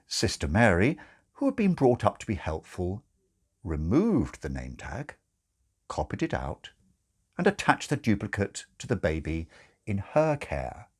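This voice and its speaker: A male narrator with a fairly low, husky voice reads a comic novel. His delivery is wry and theatrical, with lively swings in pitch. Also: a little slow.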